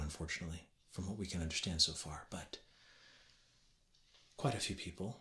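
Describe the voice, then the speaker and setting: Bright, echoey, soft-spoken male ASMR teacher. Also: lowish voice. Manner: gentle, deliberate, instructional. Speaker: ASMR creator playing a teacher. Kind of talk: ASMR roleplay lesson, soft-spoken explanation. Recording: roomy echo with faint ambient noise.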